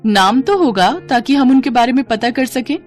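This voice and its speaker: A woman's voice: a little high, normal speed, faint music behind, lightly acted. A woman voicing a kind, helpful young female customer in a moral story, eager to help. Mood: concerned. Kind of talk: storybook character acting.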